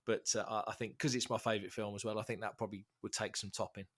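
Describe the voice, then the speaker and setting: A man's voice: on the low side, little pitch movement, fast, slightly rough. A man guesting on a podcast who is getting over a cold, sounding bunged up, chatting and telling anecdotes in a self-deprecating way. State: congested from a cold. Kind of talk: casual podcast chat.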